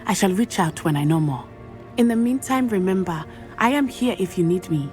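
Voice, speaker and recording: Woman playing an earnest, low-voiced teenage schoolgirl witch. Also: expressive, a little mellow, faint music behind, natural voice. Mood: calm, determined. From Matabeleland, southern Africa. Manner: formal, measured phrasing. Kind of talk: video game character acting.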